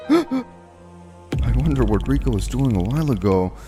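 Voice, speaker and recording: A man's voice: medium pitch, wildly swooping pitch, measured pace, over music. An actor playing an earnest, easily flustered young man in a romantic comedy anime-style dub. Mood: worried.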